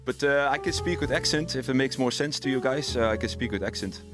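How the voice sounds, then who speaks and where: A man's voice: medium pitch, fast talker. A man from the Netherlands hosting a live stream, chatting casually and answering viewers' questions directly. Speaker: music production teacher hosting a demo stream. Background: electronic music playing.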